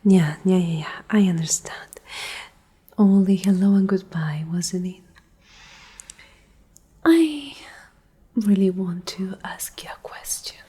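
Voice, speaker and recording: A woman's voice: mid-range voice, dark tone. Woman acting a whispering, menacing female Alpha leader. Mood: accusing, then sardonic. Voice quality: breathy, whispery, husky. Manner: slow, condescending, coldly threatening. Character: menacing, domineering female Alpha leader of apocalyptic survivor group. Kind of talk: soft-spoken whispered ASMR roleplay.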